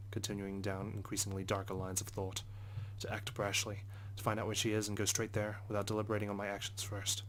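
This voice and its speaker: A man's voice: on the low side, slight background noise, poor audio. A man reading visual novel narration aloud in an introspective, first-person manner. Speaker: let's play narrator.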